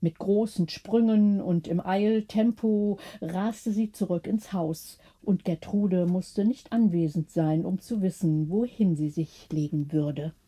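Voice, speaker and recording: A woman's voice: lowish voice, takes it slowly, few pauses, dark tone, husky. A woman narrating a scripted bedtime story as an audiobook reader.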